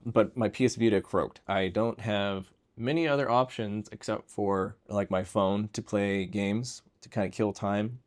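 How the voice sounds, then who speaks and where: A man with a fairly low-pitched voice hosts a relaxed show-and-tell video. His delivery is informal and unscripted, wandering loosely from point to point with an enthusiast's interest. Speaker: video host showing everyday tech gear. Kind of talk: casual chat, show and tell.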